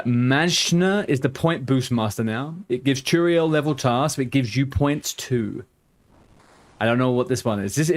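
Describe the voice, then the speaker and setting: A man in his thirties with a softened London accent, chatting casually with viewers while streaming a game. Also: medium pitch. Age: 30s. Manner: chatty and rambling, answers viewers' chat aloud. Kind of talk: casual live-stream chat.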